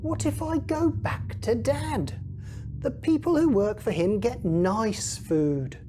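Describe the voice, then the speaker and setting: Woman reading as a slow, remorseful young son. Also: on the high side, background noise. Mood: hopeful reasoning. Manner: earnest, pleading.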